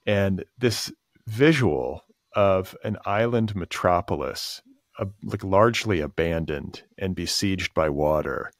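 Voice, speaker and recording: A man's voice: deep voice, takes it slowly, no echo, studio quality. A man hosting a podcast, asking long casual questions filled with "like" and "sort of". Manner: casual, rambling questions full of "like". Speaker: podcast host. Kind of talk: podcast interview.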